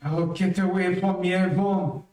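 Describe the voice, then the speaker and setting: An actor playing a bitter, envious dying villain in an old-time radio melodrama. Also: male voice, sits high, steady tone, measured pace, darkish tone. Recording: very echoey room, distant mic.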